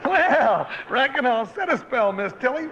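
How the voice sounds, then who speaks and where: An actor playing a folksy, portly, good-natured cowboy sidekick in an old radio western. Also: male voice, very high voice, big pitch swings, unhurried, exaggerated voice. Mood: sweet-talking. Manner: folksy drawling turns of phrase. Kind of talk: 1950s radio western acting. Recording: muffled, noisy old radio recording.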